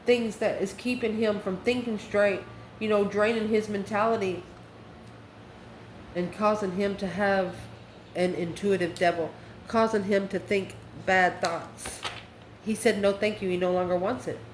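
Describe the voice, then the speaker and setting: A woman's voice: mid-range voice, steady tone, measured pace. Folksy woman reading tarot in echoey room. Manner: folksy, emphatic, addresses listeners with endearments. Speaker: tarot card reader and channel host. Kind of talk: informal spoken tarot reading. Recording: roomy echo, faint background noise.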